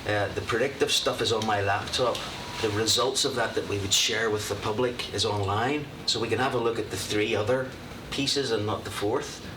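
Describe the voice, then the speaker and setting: A man from Northern Ireland with a Northern Irish accent, presenting his software to a county committee in a relaxed, conversational way. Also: on the low side, moderate pace, hardly any pauses. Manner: relaxed, conversational, with filler words. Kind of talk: presenting at a public meeting. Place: county committee meeting room. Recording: roomy hall, distant mic, steady noise.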